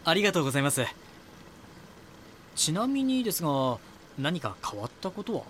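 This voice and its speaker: An actor playing a young magazine reporter in an audio drama, polite, earnest and inquisitive. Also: male voice, a little high, big pitch swings, brisk, a bit bright, slight background noise. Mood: polite, probing. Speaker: magazine reporter and first-person narrator. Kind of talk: Japanese audio drama dialogue and narration.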